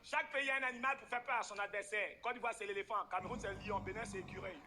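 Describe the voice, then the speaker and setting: A man doing animated, exclamatory stand-up comedy, teasing a live audience from the stage. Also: high voice, fast talker. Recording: noisy live stage recording with ambience.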